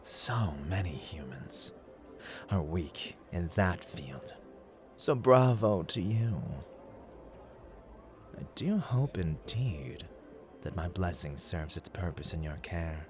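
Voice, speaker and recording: Deep, theatrical, playful god of luck, acted by a man. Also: big pitch swings, takes it slowly, music in the background, heavily acted. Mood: gracious, solemn. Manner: theatrical, teasing, formal, condescending, drifting into whispers. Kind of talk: ASMR roleplay, scripted dramatic monologue.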